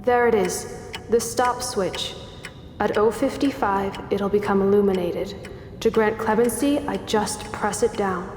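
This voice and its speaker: A woman's voice: medium pitch, fairly even intonation, a little slow, darkish tone, slight background noise, lightly acted. An actress playing a wary, defiant young female citizen in a radio drama, guarded and tense. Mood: anxious. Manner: tense self-talk, guarded. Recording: roomy reverberant sound with faint music.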